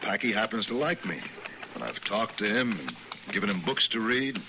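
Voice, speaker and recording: An actor playing a principled, bookish sheep rancher with an American accent, articulate and quietly defiant, in an old-time radio western. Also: male voice, fairly low pitch, big pitch swings. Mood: earnest, troubled. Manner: articulate, deliberate, earnest. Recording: noisy old radio recording.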